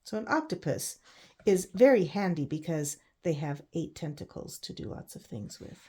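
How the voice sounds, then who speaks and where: Woman reading aloud to children, lowish voice. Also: lively intonation, moderate pace, mellow, breathy edge. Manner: asks listeners questions, explains simply. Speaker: read-aloud storyteller for children. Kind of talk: picture book read-aloud with chatty asides.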